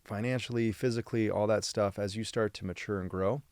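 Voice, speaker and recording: A man from San Diego hosts a podcast, his voice sitting on the low side. He rambles reflectively and thinks aloud as he goes. The recording is dry, with no room sound. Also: fairly even intonation, fairly quick, darkish tone, dry recording. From San Diego, United States. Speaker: podcast host. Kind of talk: casual interview conversation.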